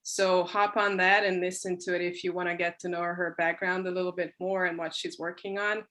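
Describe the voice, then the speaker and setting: A woman's voice: fairly low pitch, little pitch movement, brisk, fairly bright tone. A young woman hosting an interview podcast in an upbeat, friendly way. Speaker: podcast host.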